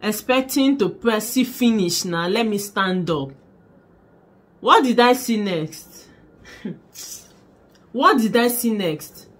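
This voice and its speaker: Woman, young adult, Nigerian accent, animated storytelling, bedroom vlog, camera mic. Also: medium pitch, slow pace, long pauses. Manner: animated, dramatic, laughing, mixing in pidgin. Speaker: vlogger. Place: small bedroom indoors. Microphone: camera in front at desk distance.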